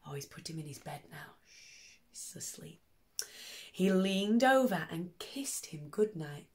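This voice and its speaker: A woman's voice: on the low side, a little slow. A woman primary teacher reading a picture book to young children, expressively and with questioning asides to them. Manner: animated, asks tag questions, child-directed.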